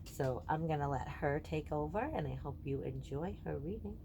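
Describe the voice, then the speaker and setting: A woman speaking as a teacher introducing a read-aloud for children, with measured, explanatory phrasing. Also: sits low, lively intonation, background noise. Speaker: teacher introducing a guest reader.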